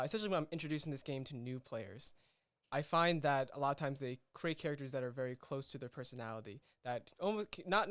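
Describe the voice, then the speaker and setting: A man hosting a casual livestream interview, speaking in a halting, rambling way as he works toward his questions. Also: a little high, fairly bright tone, clean tone. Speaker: host and game master.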